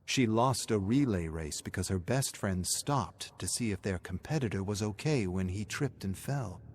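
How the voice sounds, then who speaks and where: A man's voice: on the low side, animated, normal speed, a little hiss or hum. A man narrating a scripted dramatic story as its narrator.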